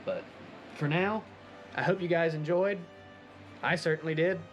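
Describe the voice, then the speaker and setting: A man with a fairly high voice chats through a hobby project in an enthusiastic, conversational way. He sounds like a casual vlogger showing his work, with music playing faintly behind him. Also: fairly even intonation, a little slow, muffled recording. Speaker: hobbyist craft video presenter. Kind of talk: casual project recap vlog.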